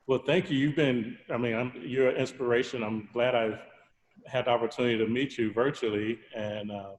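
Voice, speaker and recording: A man's voice: mid-range voice, steady tone, a little fast. A man on a video call asking questions politely, often restarting his sentences. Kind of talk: casual video-call conversation. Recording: echoey room with long reverb.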